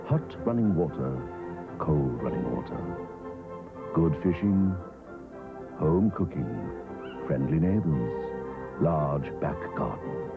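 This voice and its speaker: Very deep, gravelly male advert narrator. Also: lively intonation, slow pace, pauses often. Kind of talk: scripted TV advert voice-over. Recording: thin, muffled old TV advert recording. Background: music.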